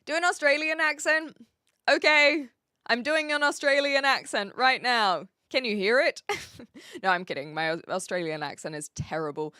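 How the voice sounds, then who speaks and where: A woman's voice: high-pitched, moderate pace, sharp tone, dry recording, studio quality. A woman with a New Zealand accent, chatting casually on a livestream in a playful, rambling, teasing way as she answers viewers. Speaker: live streamer.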